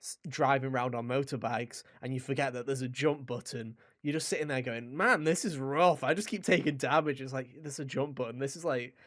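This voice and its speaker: A man's voice: mid-range voice, fairly quick, hardly any pauses, fairly bright tone. A man co-hosting a gaming podcast, chatting casually and enthusiastically in a joking, sweary way. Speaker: podcast host and game reviewer.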